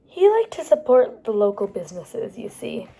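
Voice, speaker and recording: A woman reading picture books aloud to young children as a storytime host, animated and pausing to explain things to the listeners. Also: on the high side. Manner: animated, explains pictures and words as she goes.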